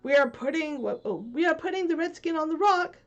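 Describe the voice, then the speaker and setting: A woman's voice: big pitch swings, moderate pace, lo-fi sound, faint music behind. A woman voicing a bumbling male pirate boatswain who is eager to please his captain. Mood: eager, fussing. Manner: high, fussing, eager to please. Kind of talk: storybook read-aloud.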